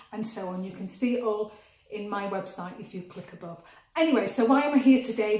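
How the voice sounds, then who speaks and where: A woman's voice: on the high side, darkish tone. A woman, a nutritional therapist giving chatty, emphatic health advice straight to camera, often repeating words for stress. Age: 50s. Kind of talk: casual advice vlog to camera. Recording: echoey room.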